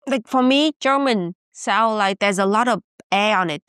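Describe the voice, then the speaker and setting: A woman's voice: medium pitch, moderate pace, fairly bright tone, clean tone, no echo, studio quality. A young woman from Vietnam with a Vietnamese accent, hosting a podcast and asking questions in a playful, teasing way. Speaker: podcast host. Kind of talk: casual chat.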